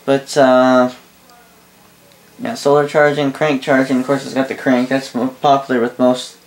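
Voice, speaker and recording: A man giving a casual, unscripted product review in a rambling, halting way, often correcting himself, recorded indoors. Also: mid-range voice, level tone, rough recording. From Canada. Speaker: host of a radio gear review channel. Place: indoor room full of radio gear.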